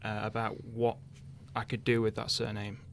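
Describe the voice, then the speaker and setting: A man's voice: on the low side, completely flat delivery, normal speed, gravelly, slight background noise. A young man chatting casually in a radio interview, thinking aloud hesitantly. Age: young adult. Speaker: interviewed radio drama writer.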